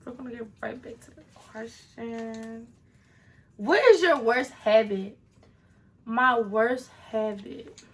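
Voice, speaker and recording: A chatty young woman in her early twenties answers questions straight to camera, tossing sassy asides at her viewers. Her voice sits a little high and comes across bright and forceful. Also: slow. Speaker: vlogger. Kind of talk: casual Q&A vlog.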